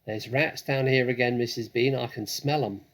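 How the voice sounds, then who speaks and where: A man voicing a huge, coarse, servile housemaid in a storybook, calling out loudly. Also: mid-range voice, fairly even intonation, moderate pace, natural voice. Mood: dutiful.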